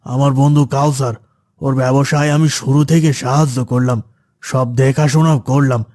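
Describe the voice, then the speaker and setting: A man's voice: medium pitch, little pitch movement, moderate pace, mellow, lightly acted. A man voicing an envious, resentful schemer in a cartoon, speaking in an aggrieved manner. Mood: aggrieved, spiteful. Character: envious, resentful man plotting against his friend. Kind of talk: cartoon voice acting.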